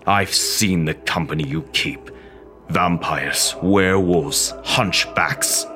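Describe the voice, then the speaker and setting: An actor playing a menacing, lovesick phantom of the opera in a radio drama, brooding and theatrical. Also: male voice, low-pitched, slow pace, bright, forceful tone, soft background music, heavily acted. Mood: menacing, bitter. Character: obsessive, menacing opera phantom, possessive man in love.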